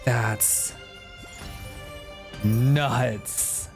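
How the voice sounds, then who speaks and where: A man's voice: mid-range voice, fairly even intonation, extremely slow. A man streaming a video game with casual live commentary, tossing out exclamations and muttering as he reads along. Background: faint game music.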